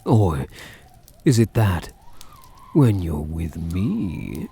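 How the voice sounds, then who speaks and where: A man voicing a possessive, teasing vampire count in a soft-spoken ASMR roleplay, husky and breathy, with a mock-sympathetic purr. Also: low-pitched, sing-song, drawn-out words, a little hiss or hum, exaggerated voice. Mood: coaxing, intimate.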